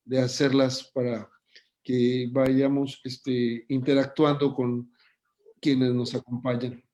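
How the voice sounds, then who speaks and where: A man moderates an online lecture. He speaks slowly and deliberately, stopping often to gather his thoughts. His voice sits in an ordinary middle range. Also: medium pitch, dark tone. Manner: measured, deliberate, pauses with fillers. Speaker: host and moderator.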